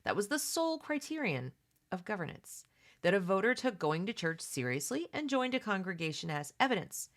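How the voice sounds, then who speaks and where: A woman's voice: medium pitch, very animated, brisk. A woman hosting a read-along, mixing reading aloud with casual, opinionated commentary in an emphatic, conversational way that addresses listeners directly.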